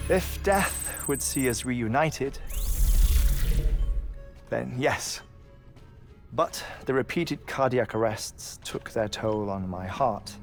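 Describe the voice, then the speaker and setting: An actor playing a melancholy, obsessive scientist in a video game, with a measured, theatrical delivery and deliberate pauses. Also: male voice, medium pitch. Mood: haunted, resolute. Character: melancholy, obsessive scientist grieving his lost family. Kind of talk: dramatic video game dialogue. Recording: music behind the voice.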